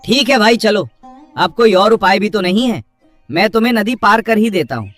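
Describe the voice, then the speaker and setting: A man's voice: high-pitched, animated, normal speed, a bit bright, dry recording, clean studio sound, soft background music. A man playing a plain-spoken, kindly village boatman in a cartoon story, admonishing in a humane way. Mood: reluctant, relenting. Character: kind, hard-working village boatman, grumbling but humane. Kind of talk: dramatised cartoon story dialogue.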